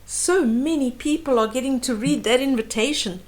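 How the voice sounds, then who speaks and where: A woman reading a children's story aloud with animated, dramatic emphasis and explanatory asides. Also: high-pitched, moderate pace.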